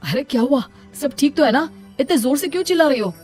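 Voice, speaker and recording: A woman's voice: high voice, animated, quick pace, a little mellow, voice pushed up. A woman plays a young cartoon husband, supportive and reassuring. The voice has music underneath it. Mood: worried.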